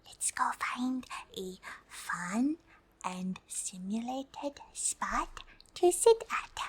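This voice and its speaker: A woman voicing a friendly, excitable female alien in a soft, breathy whispered ASMR roleplay, speaking with hesitant, naive formality. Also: fairly high pitch, drawn-out words, slight background noise, exaggerated voice. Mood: excited, gentle. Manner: hesitant, formal, third-person self-reference with frequent uh.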